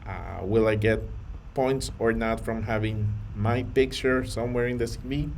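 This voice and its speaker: A man from Colombia, earnest and measured, talking to camera with a few fillers and restarts. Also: on the low side, fairly even intonation, gravelly, darkish tone, slight background noise. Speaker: vlogger on data careers. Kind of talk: to-camera talk.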